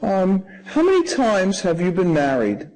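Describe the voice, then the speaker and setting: A man's voice: high voice, wildly swooping pitch, measured pace, a little mellow, low-quality recording. A man playing a formal interviewer and asking set questions in a scripted practice dialogue. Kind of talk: scripted role-play questions.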